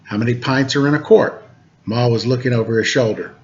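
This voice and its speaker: A man's voice: mid-range voice, fairly quick. Man reading aloud, dull lo-fi recording. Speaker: teacher reading a storybook aloud. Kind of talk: read-aloud storytelling with casual asides.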